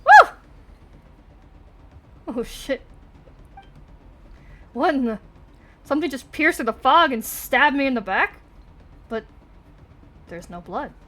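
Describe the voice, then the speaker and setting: Higher-voiced woman streaming a video game. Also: a bit bright. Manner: informal, swears freely. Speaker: livestreamer playing a video game. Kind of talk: game stream, reading lines aloud with casual reactions.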